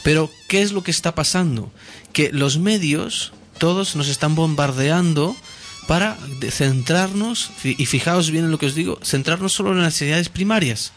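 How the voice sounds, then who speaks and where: A man's voice: fairly high pitch, moderate pace, faint music behind. A man explaining ideas in casual conversation, hesitant, with many fillers and restarts.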